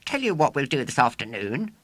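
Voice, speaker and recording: A man voicing an eager, bossy eleven-year-old schoolboy who leads a gang, in an excitable children's audiobook style. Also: mid-range voice, brisk, dry recording, pitched above the performer's own voice.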